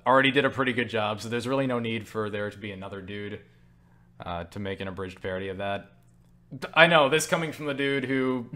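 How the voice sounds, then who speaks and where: A man's voice: medium pitch, fairly bright tone. Tired young man rambling casually. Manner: self-deprecating, joking, swears casually. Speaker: video creator answering viewer questions. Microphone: webcam setup.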